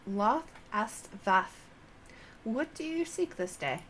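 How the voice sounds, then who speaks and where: Woman voicing a very slow, courteous insectoid elder. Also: on the high side, animated, a bit bright, a little hiss or hum. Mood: welcoming, solemn. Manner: formal, archaic, drawn-out phrasing. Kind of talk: game dialogue read aloud.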